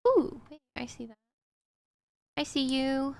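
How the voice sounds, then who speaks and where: A woman's voice: high-pitched, takes it slowly, gravelly, no echo. A woman streamer giving casual commentary on her gameplay, talking to herself as she works through puzzles. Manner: muttering to herself while solving puzzles.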